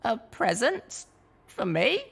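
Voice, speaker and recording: A girlish young pony mare, acted out by a male narrator, pitched well up. She is an earnest, firm-minded storybook heroine with a lively delivery. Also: slow pace, creaky, husky, full character voice. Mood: delighted surprise. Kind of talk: audiobook character acting.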